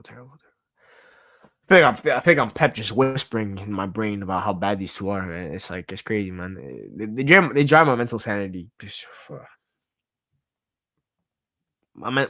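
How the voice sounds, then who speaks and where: A man's voice: on the low side, fairly bright tone. Young man, solo sports talk host, ranting, exasperated, stammering repeats, casual swearing. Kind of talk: casual venting monologue.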